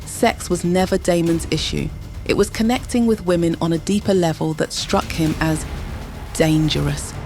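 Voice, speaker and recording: A woman narrating an audio drama with dramatic, measured storytelling. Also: fairly low pitch. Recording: music bed under narration.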